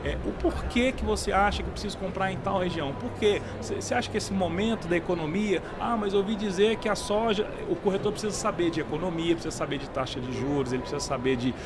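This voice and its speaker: A man's voice: sits high, fast talker, hardly any pauses. A Brazilian Portuguese-speaking man answering in a conversational podcast interview, often repeating and restarting his words. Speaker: guest, real estate sales director. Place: busy trade fair podcast booth. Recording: clearly noisy event floor with traffic-like ambience.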